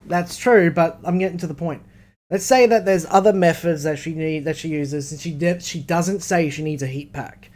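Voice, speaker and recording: A man's voice: on the high side, normal speed, darkish tone. An Australian man co-hosting a podcast, bantering casually with a blunt, emphatic delivery and plenty of swearing. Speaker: podcast co-host. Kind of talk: casual banter between mates.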